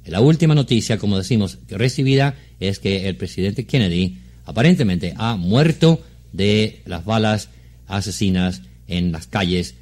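Low-pitched, formal male radio announcer. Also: normal speed, a little mellow. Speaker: Spanish-language radio newsreader. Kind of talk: live breaking news announcement.

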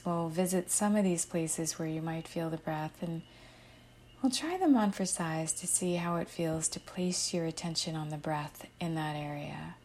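A woman guides a meditation at a very slow, unhurried pace. Her voice sits slightly low and she gives gentle, soothing instructions with soft endings to her phrases. A faint noise runs behind the recording.

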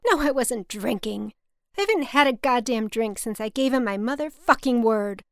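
A woman's voice: fairly high pitch, lively intonation, unhurried, dry recording, studio quality. A woman voicing a distraught, self-pitying older mother who is profane and accusing, in an audiobook story. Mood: indignant, desperate.

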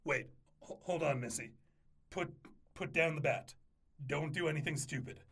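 A woman's voice: low voice, moderate pace, vocal fry, a bit bright, husky, heavily acted. A woman playing a scheming, exasperated best friend in an audio drama. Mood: panicked.

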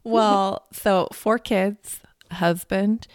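A woman's voice: mid-range voice, fairly even intonation, extremely slow, no room sound. Woman podcast host, mid voice, eager and upbeat, dry close recording. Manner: upbeat, enthusiastic, laughs readily. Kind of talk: casual podcast hosting. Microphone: video call.

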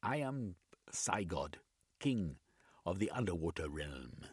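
A man voicing an old, lonely undersea king who speaks in slow, archaic phrases. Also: deep voice, very animated, husky, no room sound, clean studio sound, heavily acted. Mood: imperious.

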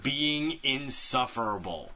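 A man's voice: mid-range voice, expressive, slow, bright, forceful tone. A man co-hosting a podcast, chatting casually about films. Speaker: podcast co-host.

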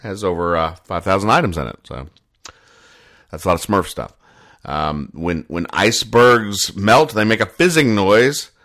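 A man hosting a casual comedy podcast, retelling comic strips in a halting, conversational way and explaining the jokes with mock earnestness. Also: low voice, expressive, fairly bright tone. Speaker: podcast host.

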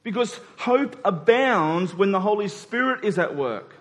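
A man's voice: high-pitched, lively intonation, measured pace. A man preaching a conversational sermon in an informal way, acting out dialogue in everyday words. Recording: long hall reverb.

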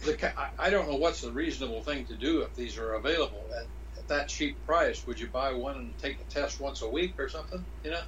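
A man co-hosting a podcast in casual conversation, halting and restarting as he searches for words. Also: mid-range voice, a bit bright, slight background noise, low-quality recording. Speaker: podcast co-host.

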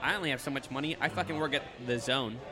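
A man's voice: mid-range voice, fast, over music. A man in his twenties chatting casually as a podcast guest, rambling and swearing a lot. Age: 20s. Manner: excitable, swears often, rambling. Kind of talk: casual chat. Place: brewery.

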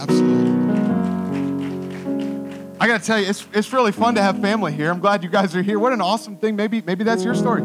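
A man preaching as a church pastor, animated and conversational, with quick asides to the congregation. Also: sits high. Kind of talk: sermon.

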